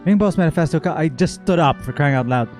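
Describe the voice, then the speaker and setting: A man streaming casual game reaction commentary, expressive and joking, talking back to the characters. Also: a little high, fast talker. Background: game music.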